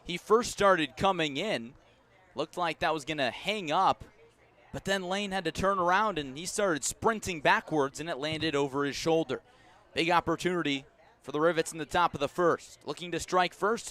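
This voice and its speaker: An American man doing live radio play-by-play of a baseball game in a measured, descriptive style. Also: fairly high pitch. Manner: measured, descriptive calls that rise to excitement on big plays. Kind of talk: live radio sports commentary.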